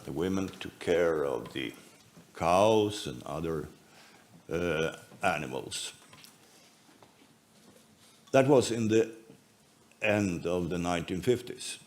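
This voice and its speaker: A man in his seventies from northern Sweden, speaking English with a Swedish accent in a measured, pausing conference talk with dry understatement. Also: low voice, a little mellow.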